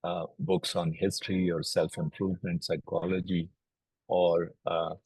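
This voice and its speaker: Low husky-voiced man, flat and measured. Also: dry recording, low-quality recording. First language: Urdu. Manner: measured and explanatory, lists cases step by step. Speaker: oncologist and platform co-founder. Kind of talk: recorded video interview.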